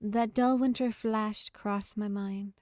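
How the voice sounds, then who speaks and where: A woman's voice: mid-range voice, steady tone, slow, no echo, studio quality. A woman reading visual novel text aloud in a storytelling manner as a gaming video host. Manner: narrative storytelling read-aloud. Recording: game music behind the voice. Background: soft game music.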